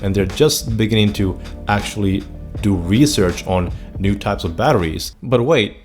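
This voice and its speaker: A man's voice: on the low side, moderate pace, darkish tone, faint music behind. A man in his thirties with a Finnish accent, a native Finnish speaker, talking earnestly and enthusiastically as host of his own vlog. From Finland. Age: 30s. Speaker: channel host. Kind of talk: personal vlog monologue.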